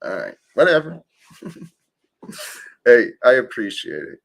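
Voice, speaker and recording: A man hosting a livestream, talking slowly and calmly about faith and gratitude in a soothing, gently preaching manner. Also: medium pitch, creaky. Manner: slow, calm, gently preaching. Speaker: livestream host. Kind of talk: spiritual livestream talk.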